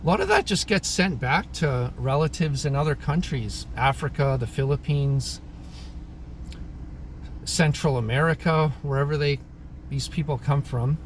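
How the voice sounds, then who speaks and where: A man in his thirties, originally from Croatia, talking through his opinions in a casual monologue, pausing often and clearing his throat. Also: fairly high pitch, slight background noise. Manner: halting, frequent throat clearing and restarts. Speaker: vlogger. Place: in Alberta, Canada.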